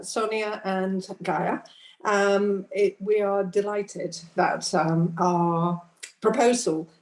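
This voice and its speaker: A woman's voice: mid-range voice, roomy sound. A woman from Spain opening a conference presentation, hesitant, with many fillers.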